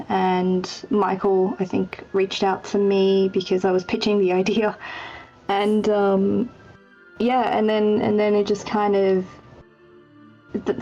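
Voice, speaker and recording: A woman with a medium-pitched voice chats casually about her writing. She is hesitant and self-deprecating, heard through a slightly muffled online call with faint music underneath. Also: darkish tone. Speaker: game writer and project lead. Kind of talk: casual chat, answering interview questions. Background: background music.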